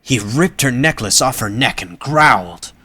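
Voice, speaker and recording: A man's voice: medium pitch, animated, a little slow, sharp tone, slightly rough. A man reading scripted narration for an audio drama as its narrator.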